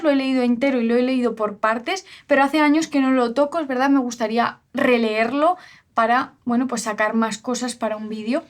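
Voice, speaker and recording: A woman from La Mancha with an accent from Spain, co-hosting a casual book discussion in an enthusiastic, chatty way. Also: high voice. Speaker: co-host of a bookshop's book channel.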